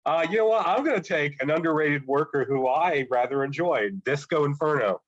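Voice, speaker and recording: A man's voice: fairly high pitch, brisk. Stuffy-nosed man on a dull recording. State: stuffy from a cold. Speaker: podcast co-host. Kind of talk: casual podcast chat.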